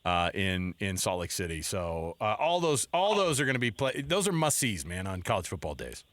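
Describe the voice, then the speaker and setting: Man, American accent, sports talk host, bright tone, casual, chatty, joking, studio radio. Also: sits low, big pitch swings, normal speed, no echo.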